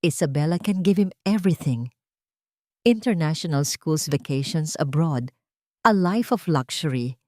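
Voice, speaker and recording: A woman's voice: on the low side, mellow, no room sound, natural voice. Stern, condescending Filipino husband, played by a female narrator, menacing and controlling, dry story recording. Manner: stern, pressuring, switches into English. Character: cold, threatening rich husband, condescending adult man.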